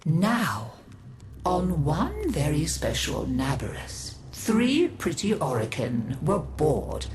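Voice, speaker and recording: A woman's voice: deep voice, wildly swooping pitch, a crowd in the background, heavily acted. A woman playing a theatrical old grandmother who narrates gruesome tales for a video game, savouring each phrase dramatically. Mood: macabre relish. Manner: savouring, dramatic, drawn-out delivery.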